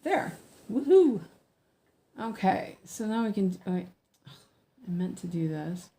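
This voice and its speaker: A woman chatting casually while stitching at home, rambling with lots of ums, a little hoarse from allergies. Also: mid-range voice, sing-song, takes it slowly, warm tone. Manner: chatty, rambling, many ums and self-corrections. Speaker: cross-stitch streamer. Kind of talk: casual stitch-along chat.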